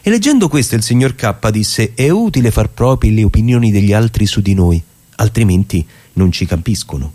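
A man's voice: lowish voice, animated, warm tone. A man acting a stage performer, in a theatrical monologue that moves between casual talk and literary reading. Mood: measured, declamatory. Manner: halting and repetitive on the phone, measured when reading. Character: chatty stage actor on a phone, rehearsing alone.

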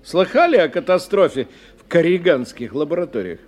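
Animated, wry radio-play engineer. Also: male voice, a little high, a little fast. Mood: dramatic, grim. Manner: expressive, probing, wry. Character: worldly male engineer, inquisitive, wry, forthright. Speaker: radio drama actor. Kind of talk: 1990 Russian radio play dialogue.

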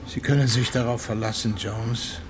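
An actor playing a worldly, reflective seafaring gentleman who narrates and talks in a measured way in a radio play. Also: mid-range voice, steady tone, noisy background, close to an everyday voice.

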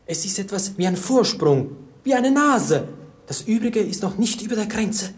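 A woman acting an earnest young lookout at a royal court. The voice is of middle pitch, in vintage radio drama style. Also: animated, normal speed, darkish tone, lightly acted. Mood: intent. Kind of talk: 1950s German radio play acting.

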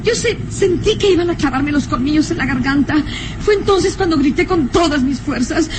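Scared fragile young lady, super high voice, breathy halting melodrama, noisy old radio recording. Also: expressive. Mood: shaken. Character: terrified young woman, fragile and shaken.

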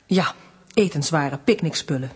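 Husky, defiant female detainee. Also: low voice, expressive, moderate pace, exaggerated voice. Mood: dry, exasperated. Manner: guarded, dry, curt answers. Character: defiant, weary detained wife protesting her innocence. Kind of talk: Dutch radio play acting.

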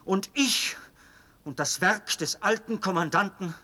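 A husky, fervent, fanatical officer. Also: male voice, high voice, a little slow, pushed and bright, heavily acted. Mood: agitated, desperate. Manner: insistent, persuasive, theatrical emphasis. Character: fervent male officer, fanatically devoted to an execution machine. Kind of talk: German radio drama acting.

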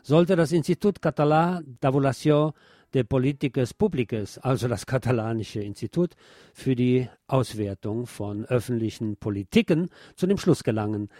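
A man's voice: mid-range voice, normal speed, warm tone, no room sound, clean studio sound. A man presenting scripted radio news as a narrator, reading reports in an orderly way. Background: music under the voice.

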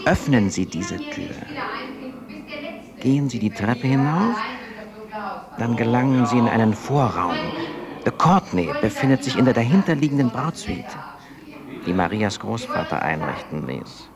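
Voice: mid-range voice, very animated, a little slow, a crowd in the background. A performer playing a grave, observant accomplice giving directions in a 1970s German radio play. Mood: measured, instructing. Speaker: actor in a radio drama.

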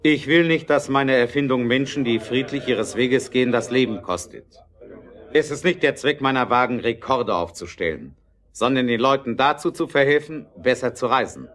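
A man acts out an elderly inventor of stubborn principle in a German radio drama. His voice sits at a middling pitch. He lays down his convictions firmly and deliberately. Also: expressive, fairly bright tone, close to an everyday voice. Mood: firm, resolute. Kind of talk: German radio play acting.

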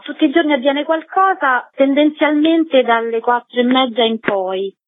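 A woman curating a festival, talking on the phone to a radio show and calmly describing the programme. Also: high voice. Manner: measured, informative. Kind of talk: radio interview over the phone. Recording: tinny phone line.

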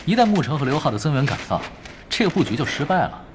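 An actor playing a laid-back young esports expert in an audio drama, casual, patient and teasing, explaining in an analytical way. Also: male voice, fairly high pitch, big pitch swings, fast talker, noisy background.

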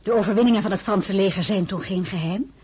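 An actress playing a troubled young royal highness in a period radio drama, with a breathy voice. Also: female voice, quick pace, darkish tone. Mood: guarded, uneasy. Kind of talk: Dutch-language period radio drama acting.

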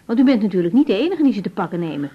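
An actress playing a brisk, caring young doctor in a radio drama, direct and reassuring as she asks her questions. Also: medium pitch, big pitch swings, slightly rough. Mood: indignant, practical. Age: young adult. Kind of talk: naturalistic radio drama acting. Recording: dull, band-limited radio recording.

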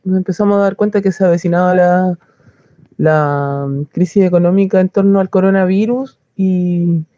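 A woman's voice: fairly low pitch, warm tone, no room sound. A woman with a Chilean accent giving a casual, colloquial account as a community organiser. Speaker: community soup kitchen organiser. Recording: dull lo-fi close recording.

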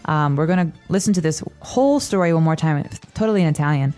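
A woman's voice: deep voice, lively intonation, fast, a little mellow, faint music behind. A woman hosting a language lesson in casual, playful chat, teasing her co-host.

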